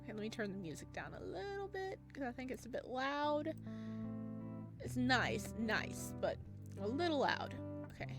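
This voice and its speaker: A woman with a fairly high voice plays through a story game, reading the narration aloud with expression. Her asides are gushing and excitable. Plucked guitar music plays clearly behind her. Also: normal speed. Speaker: host of a game playthrough. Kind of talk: let's play commentary with read-aloud narration.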